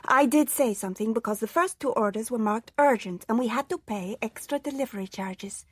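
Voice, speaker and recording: Husky, shrewd woman. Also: a little high, fairly quick, no echo. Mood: concerned, uneasy. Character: shrewd, practical woman, a businessman's trusted confidante. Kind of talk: radio drama dialogue.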